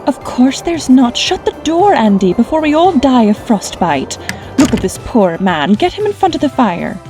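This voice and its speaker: An actress playing a motherly, no-nonsense woman who takes charge, brisk and caring, in an audio drama. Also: high voice, very animated, music in the background. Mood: urgent, commanding.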